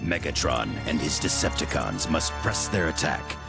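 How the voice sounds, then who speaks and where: Extremely deep, gravelly narrator. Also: male voice, lively intonation, moderate pace, loud music behind the voice, heavily acted. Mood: ominous, solemn. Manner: solemn, portentous. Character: grave, ominous male battle narrator. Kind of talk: scripted video game narration.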